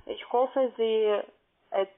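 A woman talks on a narrow phone line as a call-centre agent. Her delivery is very slow and halting, as she searches for words in a language not her own. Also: on the high side, steady tone. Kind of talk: phone conversation.

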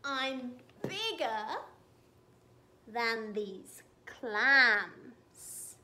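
A woman voicing a boastful, excitable giant squid from a picture book, in proud singsong declarations. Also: a little high, drawn-out words, reverberant, pitched below the performer's own voice, exaggerated voice.

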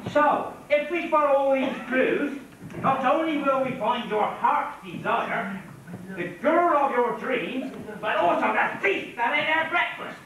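Man as a very high-pitched daft pantomime bear. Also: expressive, unhurried, background noise, exaggerated voice. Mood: eager. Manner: exaggerated, playing to the audience. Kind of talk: amateur pantomime acting. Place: village hall stage. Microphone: far camera in the audience. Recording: echoey hall from far off, camcorder.

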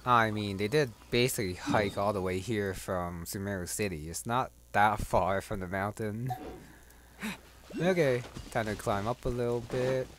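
A man doing casual gameplay commentary, thinking aloud in a low-key way. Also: moderate pace, slight background noise. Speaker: gamer. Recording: loud game music behind the voice.

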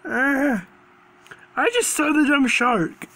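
Squeaky, creaky, dimwitted cartoon creature. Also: male voice, animated, takes it slowly, pushed and bright, exaggerated voice. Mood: combative, rambling. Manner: stammering, repeating himself.

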